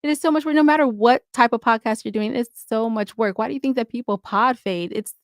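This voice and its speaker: A woman's voice: on the high side, brisk, no echo, studio quality. A woman talking casually as an interview guest, emphatic and given to repeating points for stress. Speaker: interview guest, audio drama podcaster.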